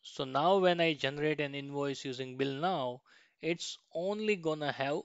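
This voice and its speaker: A man's voice: a little high, normal speed, clear, resonant tone, no room sound. Man, dull lo-fi recording. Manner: frequently ends points with a questioning "right". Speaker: software tutorial instructor. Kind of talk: screen-share tutorial walkthrough, informal step-by-step.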